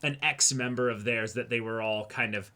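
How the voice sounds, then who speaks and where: Bright-voiced man in casual discussion. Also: mid-range voice, steady tone, moderate pace. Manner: emphatic, casually sweary. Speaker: co-host of an anime reaction discussion show.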